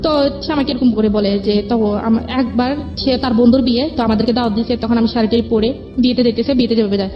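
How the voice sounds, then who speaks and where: Young woman, quick rambling personal storyteller quoting conversations, dull lo-fi sound over music. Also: fairly high pitch, steady tone. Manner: rambling, repeats herself, quotes conversations. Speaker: guest telling own life story. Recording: dull lo-fi audio with music behind.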